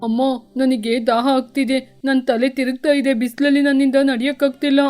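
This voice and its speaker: Woman playing a complaining, high-voiced crow boy. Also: fairly even intonation, brisk, a little mellow, soft background music, close to an everyday voice. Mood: whining, thirsty. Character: whining, reproachful young crow son. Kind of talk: children's cartoon dubbing.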